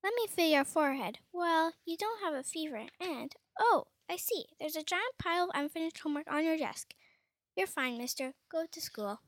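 A woman's voice: very high voice, expressive, moderate pace, dry recording. A female performer playing a kindly but firm mother or schoolteacher in school readers' theatre. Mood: firm, unfussed.

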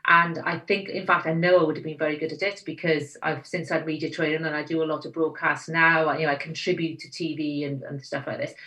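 A woman's voice: low-pitched, steady tone, fast talker, few pauses, bright, forceful tone, low-quality recording. A middle-aged woman with a Welsh accent, a magazine editor speaking candidly and emphatically as a guest in a podcast interview. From Wales.

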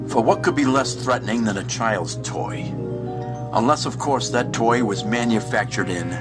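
An actor playing a wry, disembodied narrator of an eerie anthology tale, measured and portentous. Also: male voice, medium pitch, animated, over loud music, close to an everyday voice. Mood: ominous, wry.

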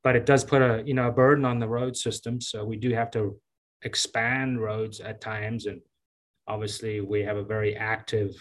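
A man in his fifties from Zambia, a county engineer giving relaxed, chatty interview answers with many fillers and restarts. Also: lowish voice, moderate pace. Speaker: county engineer and public works director.

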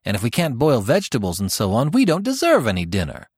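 A man's voice: on the high side, big pitch swings, moderate pace, no room sound, clean studio sound, pitched above the performer's own voice. A man doing a bossy, eager farm girl. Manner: commanding, spirited.

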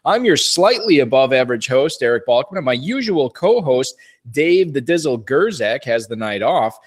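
An upbeat man hosts a sports talk show from a studio, rattling off sponsor reads and phone numbers between friendly banter. His voice sits at an ordinary middle pitch, relaxed and chatty. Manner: upbeat, chatty, reads plugs and contact details. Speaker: podcast host. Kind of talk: casual radio show hosting with sponsor reads. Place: radio studio.

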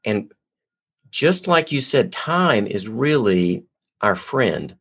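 A man's voice: on the low side, big pitch swings, takes it slowly, gravelly, a bit bright, slightly rough. A man hosting a podcast, reading an upbeat, promotional intro and chatting with his guest. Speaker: podcast host.

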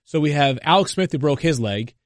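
A man's voice: fairly high pitch, animated, brisk, no echo, studio quality. A man in his thirties co-hosting a sports podcast, teasing and wry. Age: 30s. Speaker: podcast co-host. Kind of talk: casual sports chat.

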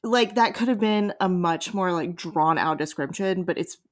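A woman's voice: on the low side, moderate pace. A woman hosting a casual podcast discussion, informal and jokey in how she talks. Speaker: podcast host.

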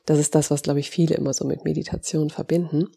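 A woman with a cold speaks in a low, gravelly voice, hoarse and congested. She talks gently and encouragingly as a coach, dropping plenty of small fillers. Her tone is dark and mellow. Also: little pitch movement, fast. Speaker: holistic coach and podcast host. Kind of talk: podcast monologue.

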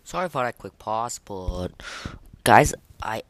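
A man hosting a podcast in casual, slangy, excitable chat, reviewing game voice lines. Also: fairly low pitch, slow pace, a little hiss or hum. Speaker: podcast host.

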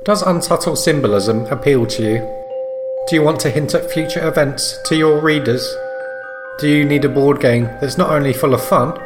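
Man playing a pitchman over plucked guitar music. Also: lightly acted. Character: sly, upbeat board game advert pitchman. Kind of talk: parody radio commercial read.

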